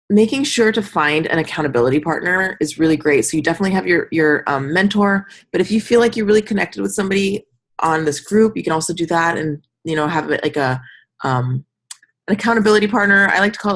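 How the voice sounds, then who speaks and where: A woman's voice: fairly low pitch, brisk. A woman mentoring a business group on a call, talking informally and encouragingly and often acting out little example remarks. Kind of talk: informal coaching talk on a group review call.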